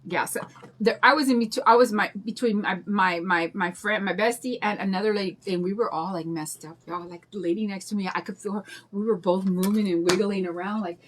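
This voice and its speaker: Chatty, raspy older woman. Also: medium pitch, a little fast. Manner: chatty, rambling, greets viewers by name. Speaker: craft livestream host. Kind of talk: casual chat during a craft demo.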